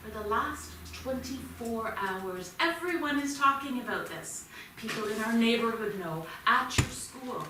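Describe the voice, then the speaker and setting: A woman's voice: a little high, expressive, a little slow. Woman acting stern, worried mother, echoey theatre. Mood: alarmed, exasperated. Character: worried, stern mother confronting her arrested teenage son. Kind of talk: staged play reading, naturalistic stage acting. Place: theatre stage at a staged reading. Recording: echoey theatre, distant mic, clear noise.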